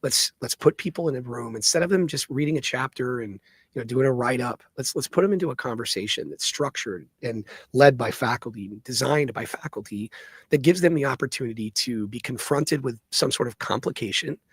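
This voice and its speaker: A man's voice: mid-range voice, expressive, fairly quick, breathy edge, no room sound. A man speaking as an entrepreneur guest on a podcast, explaining an idea conversationally. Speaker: interview guest, company co-founder.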